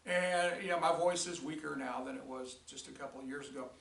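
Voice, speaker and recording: An older male teacher recapping a lesson in a rambling way with many restarts, his voice raspy and tired. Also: a little high, brisk, fairly bright tone, distant mic. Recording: echoey room, voice from off-mic.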